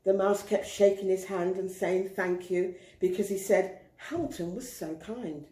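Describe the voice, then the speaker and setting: A woman's voice: mid-range voice, a little slow, dark tone. A woman storyteller reading expressively to young children, recorded in a roomy space. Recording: roomy echo.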